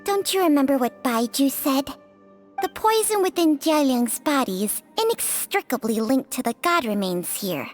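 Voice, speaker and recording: A man voicing a calm, ancient, scholarly sage who speaks in a measured, formal way. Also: very high voice, soft background music. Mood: explaining. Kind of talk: video game cutscene voice acting.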